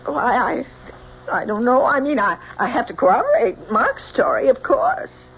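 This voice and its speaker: Pleading young daughter, high voice, old radio play, slightly noisy dull tape. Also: female voice, wildly swooping pitch, moderate pace, full character voice. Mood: uneasy, pleading. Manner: breathless, emotional, pleading. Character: anxious, pleading young bride-to-be, devoted daughter. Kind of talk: old-time radio drama acting. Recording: dull old radio recording, faint noise.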